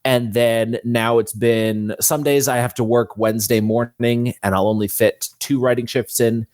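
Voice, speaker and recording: A man's voice: mid-range voice, fairly even intonation, measured pace, a little mellow, no room sound. Casual male interview guest, dry sound. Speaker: guest, fantasy author.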